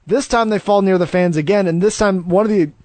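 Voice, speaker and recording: A man's voice: sits high, a little fast, a little mellow, no room sound. A man co-hosting a podcast, enthusiastic and jokey while recapping wrestling. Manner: enthusiastic, laughs easily, jokey. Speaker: podcast co-host. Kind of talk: casual wrestling review chat.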